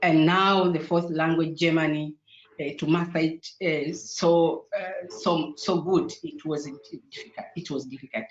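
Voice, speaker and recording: A woman's voice: low voice, steady tone, a little slow. A woman from Tanzania whose first language is Sukuma, speaking as a guest on an online panel, with hesitant speech full of fillers and restarts. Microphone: video call. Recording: roomy, dull video call audio.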